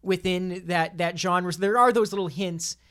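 A man's voice: sits high, fairly even intonation, moderate pace, a bit bright, clear, resonant tone. A man in casual podcast discussion, speaking enthusiastically and often stammering over and repeating his words.

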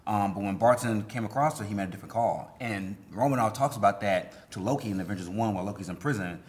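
A man's voice: lowish voice, quick pace. A man co-hosting a podcast, casually recapping stories in slangy talk with emphatic repeats. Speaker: podcast co-host. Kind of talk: casual chat with storytelling recaps.

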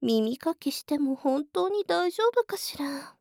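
A high, slow and swooping voice of a devilish, seductive big sister. She teases coyly and takes full control, in an intimate ASMR roleplay style. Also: animated, creaky, a little mellow, no echo, clean studio sound, exaggerated voice. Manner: coy, slow teasing, drifting into whispers.

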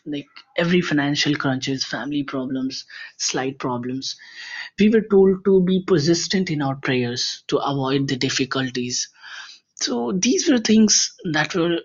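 A young man from India with an Indian accent gives an earnest, unscripted personal testimony, speaking haltingly with frequent pauses. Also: on the high side. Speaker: voicemail caller. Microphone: voicemail recording. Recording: dull lo-fi voice message.

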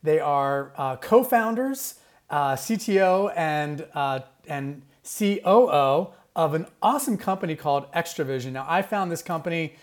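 A man's voice: a little high, lively intonation, a little slow. A man co-hosting a tech podcast, chatty and enthusiastic. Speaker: podcast co-host. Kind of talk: casual podcast chat.

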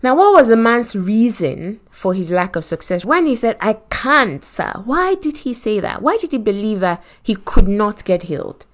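Nigerian woman, host of a faith podcast, earnest motivational delivery, mid-pitched. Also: very animated, normal speed, few pauses. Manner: earnest, preacherly, acts out quoted voices.

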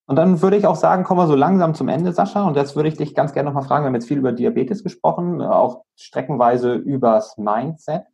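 A man hosting a conversational interview in a measured, reflective, polite way, with muffled sound. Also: fairly high pitch, creaky, dark tone. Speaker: podcast host, interviewer.

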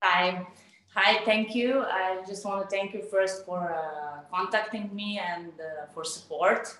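A woman with a Serbian accent giving casual interview answers, haltingly searching for words in English. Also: mid-range voice, a bit bright, heavy reverb, slight background noise. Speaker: interview guest, tennis coach and painter.

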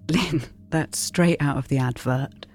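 An actress playing a wistful, anguished mother in an audio drama, speaking in a halting, emotional way. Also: low-pitched, a little mellow. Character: wistful, anguished mother reviewing her memories. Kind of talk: naturalistic audio drama acting. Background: music.

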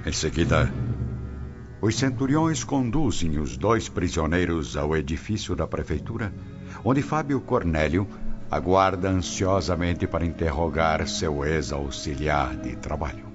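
A man with a very deep voice narrates a radio drama. His storytelling is solemn and formal. Music plays clearly beneath a dull, lo-fi recording. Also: lively intonation, unhurried.

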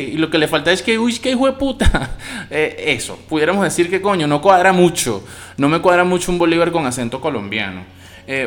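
A man from Venezuela with a Venezuelan Spanish accent, giving a casual, slangy spoken review of a TV series. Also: on the high side. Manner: slangy, expressive, swears freely.

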